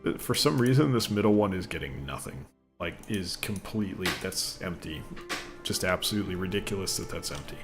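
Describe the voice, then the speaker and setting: A man rambling casually while commentating on a gameplay video, with a voice he says sounds deeper since an illness. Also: lively intonation, fairly quick, music in the background. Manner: rambling, chatty, thinks aloud. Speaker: gaming video host. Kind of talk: casual let's play commentary.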